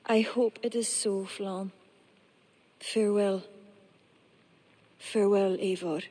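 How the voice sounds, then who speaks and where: An actress playing a wise, calm female druid who speaks slowly and evenly. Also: medium pitch, natural voice. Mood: wistful.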